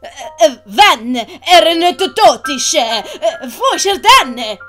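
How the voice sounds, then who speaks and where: Really high squeaky upset little sister, swiss german, pleading, stammery, bright. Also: female voice, very animated, takes it slowly, soft background music, full character voice. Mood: frantic. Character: distraught, anxious young sister. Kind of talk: hobby audiobook character acting.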